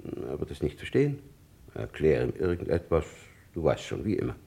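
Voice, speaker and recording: A man's voice: extremely low pitch, big pitch swings, brisk, gravelly, dark tone, full character voice. An actor playing an older businessman father back as a ghost, clipped and matter-of-fact, in a vintage radio crime play. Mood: terse, weary. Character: dead businessman father returned as a terse ghost. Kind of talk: vintage German radio crime drama acting.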